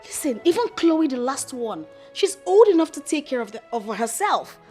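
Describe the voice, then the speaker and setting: A woman's voice: high voice, big pitch swings, moderate pace, a little mellow, soft background music. A woman playing a frustrated, pleading wife in a screen drama. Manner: pleading, complaining. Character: frustrated wife fed up with live-in sisters-in-law. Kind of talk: naturalistic screen drama acting.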